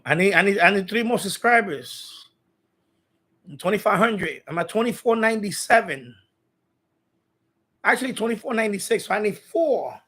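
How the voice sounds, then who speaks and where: A middle-aged man hosting a livestream in casual, rambling chat, halting often and repeating himself informally. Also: sits high, a bit bright. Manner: halting, repetitive, informal speech. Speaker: livestream host.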